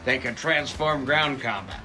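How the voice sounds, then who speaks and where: Bright, forceful corporate defense pitchman. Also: unhurried, soft background music. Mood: confident, salesy. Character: earnest defense contractor pitchman, adult man, boldly patriotic. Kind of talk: scripted corporate promo narration.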